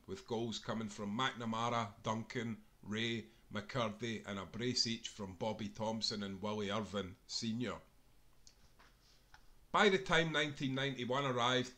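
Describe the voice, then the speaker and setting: A man's voice: medium pitch, bright, forceful tone. A Scottish man reading a scripted sports history book aloud, as an author narrating his own work.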